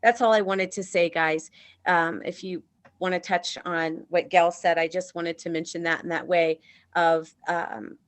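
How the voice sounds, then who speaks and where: Female panelist, lower voice, gentle and hesitant on a call. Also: steady tone, measured pace. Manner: soft-spoken, hesitant, trailing off. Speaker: conference panelist. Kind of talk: casual panel discussion. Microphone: video call.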